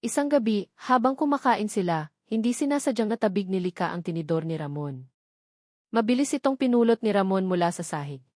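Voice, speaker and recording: A woman storyteller delivers scripted romantic drama narration at a middle pitch. The sound is dry and close, with very little room around the voice. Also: animated, fairly quick, a little mellow, no room sound.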